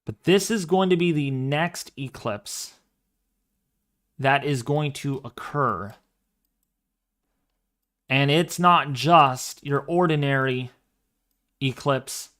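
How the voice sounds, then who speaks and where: A man in his twenties hosting a casual livestream, talking through an astronomy program with excitable exclamations as he thinks aloud. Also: mid-range voice, slow pace, pauses often. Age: 20s. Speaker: livestream host.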